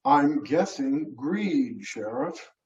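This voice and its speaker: A man voicing a measured, formal, distinguished male attorney in a mystery story reading. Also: a little high, expressive, darkish tone. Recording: roomy, muffled, distant mic.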